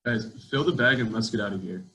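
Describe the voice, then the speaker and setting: A man playing a nervous, bossy high school boy in an amateur script reading. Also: fast talker, bright, forceful tone, poor audio. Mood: anxious. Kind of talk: amateur table read of a movie script.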